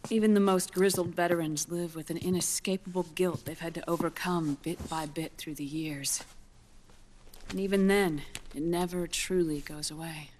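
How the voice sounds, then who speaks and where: A woman playing a grave, compassionate scientist in a dramatic video game, explaining in measured, precise phrases with quiet intensity. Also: fairly low pitch, a little hiss or hum, natural voice. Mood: reflective, sad.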